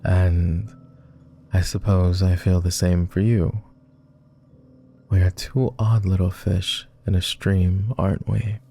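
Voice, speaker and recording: A man's voice: sits low, flat delivery, takes it slowly, gravelly, dark tone, husky, faint music behind, exaggerated voice. A man playing a clingy, lovestruck and melancholy vampire lord in an intimate boyfriend roleplay ASMR, speaking tenderly in formal, old-fashioned, hesitant phrases. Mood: fond, teasing.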